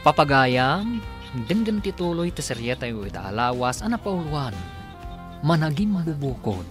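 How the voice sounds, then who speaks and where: A man's voice: a little high, sing-song, normal speed, music in the background. A man narrating and announcing a radio drama from a script, with dramatic emphasis.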